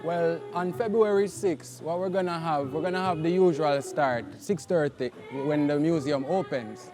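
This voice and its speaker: A man's voice: fairly high pitch, moderate pace, music in the background. A man with a Jamaican accent co-hosting a live outdoor event, laid-back and a little unsure on air. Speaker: co-host. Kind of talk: live event broadcast chat.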